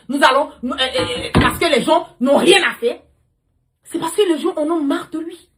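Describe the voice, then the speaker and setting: Female livestream host, very animated pitch, forceful and confrontational political rant with heavy emphasis. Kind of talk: impassioned political rant to followers.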